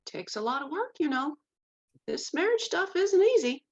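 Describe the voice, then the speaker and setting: A woman's voice: high-pitched, big pitch swings, normal speed, a little mellow. A woman playing a plainspoken, tender-hearted aunt in mourning, folksy and motherly, in a dramatic script read with slightly muffled sound. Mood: advising.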